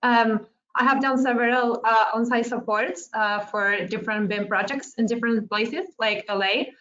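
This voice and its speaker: A woman's voice: fairly high pitch, steady tone, normal speed, fairly bright tone. Woman from Argentina on a muffled call. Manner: friendly, hesitant with many fillers. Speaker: webinar presenter. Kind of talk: webinar presentation. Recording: muffled lo-fi online call audio.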